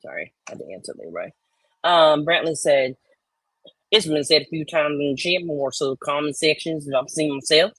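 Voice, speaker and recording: A woman co-hosting casually, chatting and reading online comments aloud in a loud, theatrical, emphatic manner. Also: low voice, expressive, normal speed, husky, dry recording. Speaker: co-host.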